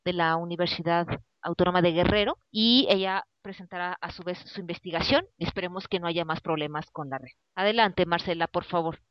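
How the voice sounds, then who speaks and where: A woman's voice: lowish voice, a little fast, dry recording, highs cut off. A woman moderating an online seminar, giving a brief, polite, formal introduction of the next speaker.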